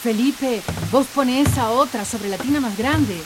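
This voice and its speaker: An actress playing an energetic woman who directs everyone in a radio drama. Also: on the high side, moderate pace, noisy background, close to an everyday voice. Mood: eager. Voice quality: bossy, directing. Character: energetic woman organizing water harvest.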